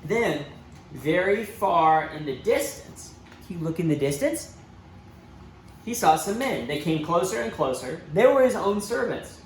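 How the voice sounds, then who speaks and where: Very animated man reading a children's story. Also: high-pitched, unhurried. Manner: expressive, dramatic emphasis with asides to young listeners. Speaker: church children's storybook reader. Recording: roomy echo, faint background noise.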